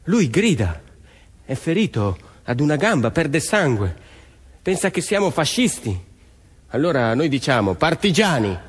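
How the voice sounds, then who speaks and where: A man's voice: on the high side, big pitch swings, a little slow, slightly rough, heavily acted. A man playing a 1940s Italian partisan peasant in a theatrical monologue, speaking in short, dramatic, emphatic phrases. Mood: earnest. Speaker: stage actor and storyteller. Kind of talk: theatrical narrative monologue with music. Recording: long hall reverb, music underneath.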